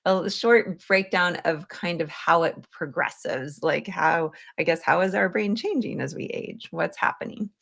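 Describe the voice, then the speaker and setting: Slow, creaky-voiced woman hosting. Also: fairly low pitch, fairly bright tone. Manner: informal, thinking aloud while rephrasing. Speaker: podcast host. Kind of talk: conversational interviewing.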